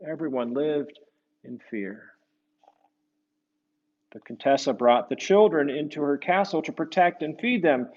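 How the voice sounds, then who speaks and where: A man reading a picture book to children, gently explaining it and often tagging questions onto his remarks. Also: on the high side, unhurried, pauses often, dull audio. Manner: gentle, explanatory, asks the listeners tag questions. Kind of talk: storybook read-aloud with conversational asides.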